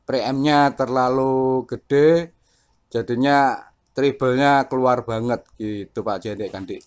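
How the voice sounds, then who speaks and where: A man with speech, a radio hobbyist chatting informally and a little haltingly as he hosts a vlog. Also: mid-range voice, steady tone, a little mellow, smooth. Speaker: amateur CB radio operator and vlogger. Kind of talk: casual radio chat.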